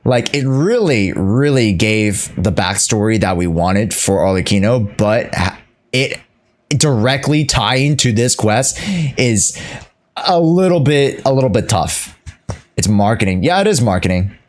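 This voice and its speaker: A man's voice: lowish voice, animated, unhurried. A man casually chatting as a game streamer over gameplay, slangy and teasing.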